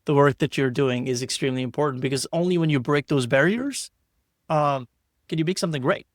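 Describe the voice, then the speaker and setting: A man's voice: on the high side, brisk, no echo, clean studio sound. A man hosting an interview podcast, talking in a loose, rambling way with repeated words and restarts. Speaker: podcast host. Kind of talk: casual interview chat.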